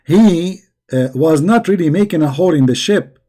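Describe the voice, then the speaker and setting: A hoarse man who is losing his voice, giving a sarcastic, scornful commentary. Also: on the high side, animated, moderate pace, mellow. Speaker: religious critic. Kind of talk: mocking video commentary.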